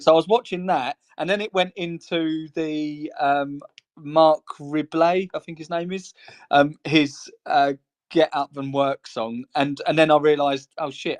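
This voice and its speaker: A man co-hosting a podcast in casual chat, talking in a rambling, chatty way and laughing between phrases. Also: on the high side. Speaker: co-host.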